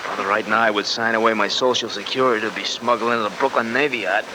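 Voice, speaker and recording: On a noisy old radio recording, an American cabbie sidekick gripes in a raised voice , played by a male actor. He is excitable, slangy and exasperated, a wisecracking 1940s radio drama comic foil. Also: fairly low pitch, quick pace, lightly acted. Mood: groggy, exasperated.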